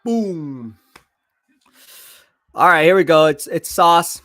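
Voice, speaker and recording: A man live-streaming football draft commentary, excitable and hyping each pick, his voice going hoarse. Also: on the high side, slow pace, no room sound, studio quality. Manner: excitable, hypes picks, reads chat aloud. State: losing his voice.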